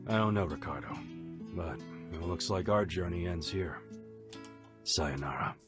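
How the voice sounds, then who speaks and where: A male actor voicing a weary, gentle, remorseful dog ex-convict in a video game, subdued and resigned. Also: low voice, a little slow. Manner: resigned, subdued, brooding delivery. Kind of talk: English video game voice acting. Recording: loud background music.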